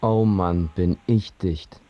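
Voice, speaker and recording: An actor playing a blunt, boozy drinking buddy with dry wit in a radio drama. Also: male voice, low-pitched, fairly even intonation, takes it slowly, noisy background. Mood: drunk, gloomy. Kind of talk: German radio drama acting. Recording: slightly dull audio.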